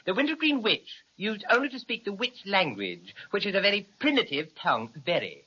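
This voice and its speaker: A woman's voice: mid-range voice, very animated, a little fast, husky, lo-fi sound. A woman playing a pedantic, haughty owl professor in a children's audio serial, speaking with pompous, precise, fussy correctness. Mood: haughty, self-satisfied. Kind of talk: children's radio serial acting.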